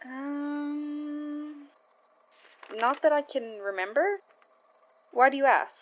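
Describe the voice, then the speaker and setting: Easygoing field investigator, slightly muffled. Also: female voice, sits high, takes it slowly. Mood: friendly. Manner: casual, matter-of-fact. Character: seasoned female field investigator, easygoing, matter-of-fact, friendly. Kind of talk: naturalistic audio drama acting.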